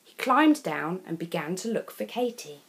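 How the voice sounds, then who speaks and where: A woman reading a children's storybook in an expressive, deliberate way with dramatic phrasing. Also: fairly low pitch, low-quality recording. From England.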